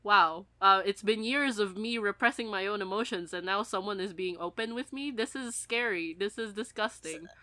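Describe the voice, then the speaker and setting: A woman chatting casually as a podcast co-host, rambling and giggly in an animated way. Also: medium pitch, normal speed, hardly any pauses, no room sound. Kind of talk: casual chat about an audio drama.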